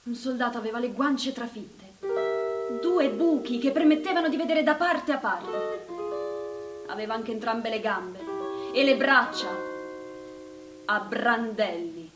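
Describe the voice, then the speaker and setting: A compassionate, shaken wartime nurse speaks in an earnest dramatic monologue. Her voice is fairly high and distant from the mic, with loud guitar music behind. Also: expressive, a little slow, pauses often, echoey room.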